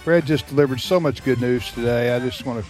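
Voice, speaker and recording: A man's voice: mid-range voice, fast, a little mellow, soft background music. A man with an American accent hosting a casual radio panel chat, bantering and teasing. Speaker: radio show host.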